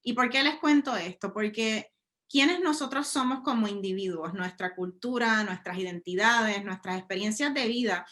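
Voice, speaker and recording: A woman's voice: medium pitch, a bit bright. A woman from Puerto Rico with a Puerto Rican Spanish accent, giving a casual webinar talk over a video call, often restarting her phrases. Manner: conversational, frequent restarts and fillers. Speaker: guest speaker, scientist. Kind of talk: webinar presentation.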